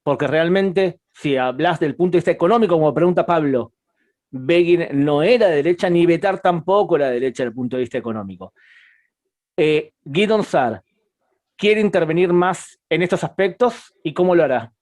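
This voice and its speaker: A man's voice: fairly high pitch, expressive, normal speed, a little mellow. A man interviewing a politician, asking direct, pointed questions.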